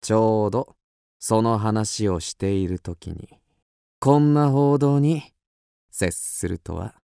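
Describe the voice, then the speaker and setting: An actor playing a cool, self-assured young detective in a radio drama, explaining things deliberately and theatrically. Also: male voice, on the low side, creaky, dark tone, clean tone, dry recording, clean studio sound. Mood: resigned. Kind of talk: Japanese radio drama acting.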